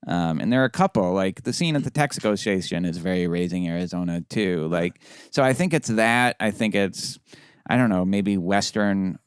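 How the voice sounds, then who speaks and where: Podcast co-host, male, laid-back and blunt, resonant low voice. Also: normal speed, smooth, no echo, studio quality. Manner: relaxed, opinionated, casual swearing. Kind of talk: casual film discussion.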